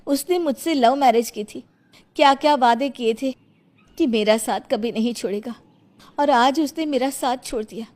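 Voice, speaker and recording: A woman's voice: high-pitched, normal speed, a little mellow, pitched above the performer's own voice, close to an everyday voice. A woman voicing an outspoken, indignant young mother and daughter-in-law in a village cartoon story. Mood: indignant, hurt. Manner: indignant, firm, challenging.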